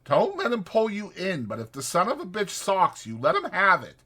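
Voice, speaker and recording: Irritable ranch hand played by a male narrator, bossy and protective, pitched up, forceful, rustic American speech. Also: wildly swooping pitch, moderate pace, exaggerated voice. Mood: stern, warning. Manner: brusque, scolding, rural American dialect.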